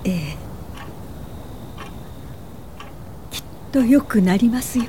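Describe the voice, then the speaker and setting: A woman's voice: a little high, animated, normal speed, dark tone, slight background noise, lightly acted. An actress playing an earnest woman welfare activist, narrating her past in careful, polite radio drama style. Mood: gentle concern. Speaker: narrator and lead character.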